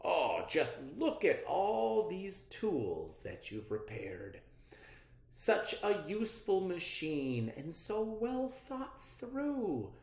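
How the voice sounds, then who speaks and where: A storybook reader voicing an impressed professor judging a contest, with emphatic, drawn-out praise. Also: mid-range voice, big pitch swings, gravelly, pitched above the performer's own voice, heavily acted. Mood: delighted. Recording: roomy, echoey sound.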